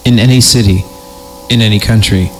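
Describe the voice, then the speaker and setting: A man's voice: lowish voice, steady tone, a little fast, slight background noise, poor audio. A man hosting a horror story podcast, narrating theatrically and breaking off for joking asides when he stumbles. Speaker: podcast host reading horror stories.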